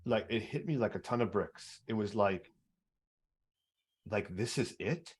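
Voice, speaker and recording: A man with a baritone voice, hosting a podcast and telling his own story earnestly, stumbling over repeated words. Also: animated, measured pace, husky. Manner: earnest, repetitive restarts. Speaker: podcast host. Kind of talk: personal storytelling in interview.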